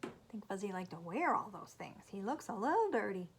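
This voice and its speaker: A woman's voice: medium pitch, big pitch swings, a little fast, background noise. A woman reading a picture book aloud to children, stopping to chat and ask them questions. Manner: warm and chatty, asks children questions. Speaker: storytime reader.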